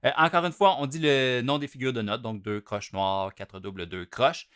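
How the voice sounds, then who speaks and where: Relaxed Québécois man teaching drums. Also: mid-range voice, normal speed, smooth. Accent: Québécois French. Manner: chatty and relaxed, chants note rhythms aloud with strong loud-soft contrasts. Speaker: drum teacher. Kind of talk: casual instructional explanation.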